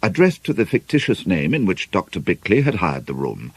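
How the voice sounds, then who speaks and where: A man narrating a novel as an audiobook, reading in a measured, expressive way with deliberate emphasis. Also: low voice, husky, no room sound.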